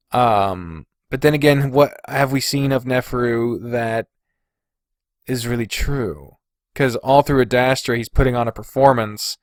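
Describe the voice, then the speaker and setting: A man's voice: mid-range voice, dry recording, studio quality. A man hosting a casual podcast on his own, thinking aloud in a hesitant, deliberating way and swearing freely. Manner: hesitant and deliberating, sighing, profane. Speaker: podcast host.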